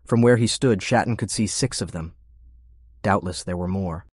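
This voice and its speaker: Low-pitched man narrating an audiobook. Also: normal speed, breathy edge, dry recording, studio quality. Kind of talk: scripted narration of war fiction.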